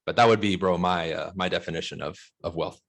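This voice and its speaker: A man's voice: deep voice, fairly quick, a bit bright. A man in his mid-thirties with an American accent, talking casually and emphatically as an interview guest, with slangy check-ins. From Los Angeles, United States. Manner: slangy, emphatic, often checks with "right?" and "bro". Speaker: interview guest, real estate broker.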